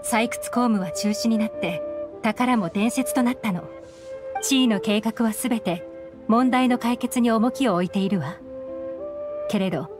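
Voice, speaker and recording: An actress playing a composed, shrewd young woman investigator in a game, explaining things in a measured way. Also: mid-range voice, natural voice. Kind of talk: Japanese game voice acting. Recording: game music behind the voice. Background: background game music.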